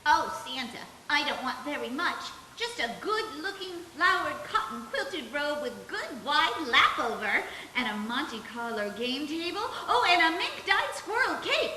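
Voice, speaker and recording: Woman playing a bright, sharp, showy little girl. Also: high voice, sing-song, a little slow, hardly any pauses, exaggerated voice. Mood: preening. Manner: affected, preening. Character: fancy, showy, greedy little schoolgirl. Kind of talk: 1940s radio commercial acting. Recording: echoey stage, faint hiss.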